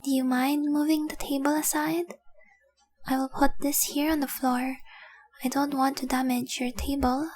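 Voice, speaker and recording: Woman acting a high, breathy, adoring dragon girl. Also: flat delivery, moderate pace, a little mellow, close to an everyday voice. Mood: earnest, warm. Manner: tender, breathy, often dropping to a whisper, lingering on words. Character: adoring, possessive dragon-blooded young woman, engaged girlfriend. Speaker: audio roleplay performer. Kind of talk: intimate romantic audio roleplay, addressed to the listener.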